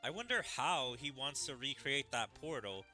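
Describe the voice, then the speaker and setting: A man with a very bright, forward voice talks through a game he is playing. His pitch sits in the middle, and he thinks aloud casually while soft game music plays behind him. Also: big pitch swings, moderate pace. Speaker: video game streamer. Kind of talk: reading game dialogue aloud with running commentary. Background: video game music.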